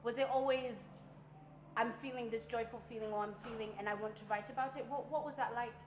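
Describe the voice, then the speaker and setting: A woman's voice: a little high, steady tone, fast, noisy background. A woman hosting a casual interview, enthusiastic and supportive, with lots of filler words. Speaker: host. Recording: echoey room.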